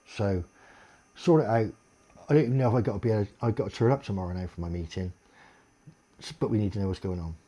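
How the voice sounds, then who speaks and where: A deep-voiced man, in a blunt, sweary, aggrieved monologue complaining to camera. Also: quick pace, pauses often, warm tone.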